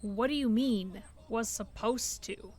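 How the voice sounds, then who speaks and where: An actress playing an anxious, indignant soldier in an audio drama. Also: female voice, a little high, slow, a little mellow, slight background noise. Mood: dismayed. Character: indignant, anxious female soldier under orders.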